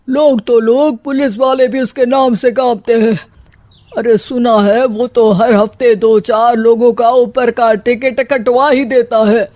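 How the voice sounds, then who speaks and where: Guy doing a scheming scaredy middle-aged sasur from a cartoon story, flustered exclaiming, slow delivery. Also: very high-pitched, darkish tone, no room sound. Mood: frightened. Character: cowardly, scheming middle-aged father-in-law, comically flustered.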